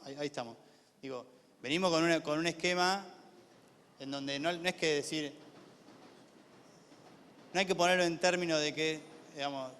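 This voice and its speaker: A man with a Buenos Aires accent gives an informal class lecture, haltingly, repeating words and posing rhetorical questions to his listeners. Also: a little high, a bit bright. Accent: Argentine, Buenos Aires area. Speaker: lecturer, university official teaching a class. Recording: echoey hall with long reverb.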